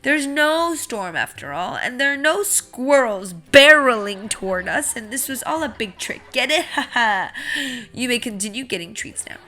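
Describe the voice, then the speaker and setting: A woman's voice: on the high side, expressive, moderate pace, bright, forceful tone. A woman voicing a grumpy, anxious male seed in a theatrical, announcing way for a children's storybook. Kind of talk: children's picture book read-aloud.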